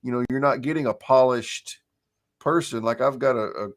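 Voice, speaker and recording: A man with a croaky voice, a marketing coach chatting as a guest in a relaxed, easygoing way with frequent laughs. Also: medium pitch, normal speed. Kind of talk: casual chat.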